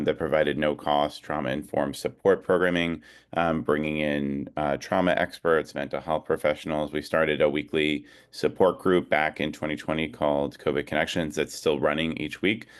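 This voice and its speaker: Really deep smooth guy giving an informal talk on a video call, kinda hesitant and thoughtful. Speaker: advocacy organization leader, guest speaker. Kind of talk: informal talk at an online rally.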